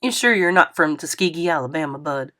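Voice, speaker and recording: A woman's voice: low-pitched, expressive, brisk, a bit bright. A woman voicing a jokey, easygoing older man who teases a young boy in a drawling, playful way. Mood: teasing.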